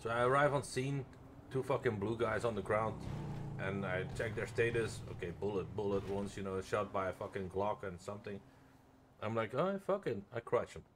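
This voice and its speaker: A man's voice: lowish voice, moderate pace, a little hiss or hum. A man streaming an online role-play game, chatting casually and telling stories with lots of swearing and repeated phrases. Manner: profane, chuckling, repeats himself. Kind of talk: casual in-game chat and storytelling.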